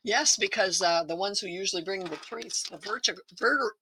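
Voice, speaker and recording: A woman from Michigan helps run an online class over a video call. Her voice is bright and forceful, and she speaks in halting, friendly bursts with easy laughter. A faint hiss sits behind her voice. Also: mid-range voice, rough recording. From Michigan, USA. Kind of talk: casual chat on a video call.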